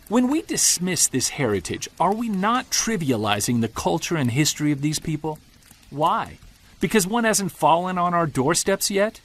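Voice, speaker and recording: Man acting an emphatic, animated, indignant Bigfoot believer. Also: fairly high pitch. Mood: indignant, challenging. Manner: emphatic, argumentative, sardonic, rhetorical. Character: Jared, earnest, indignant male Bigfoot believer recording field notes. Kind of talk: audio drama monologue, podcast-style rant.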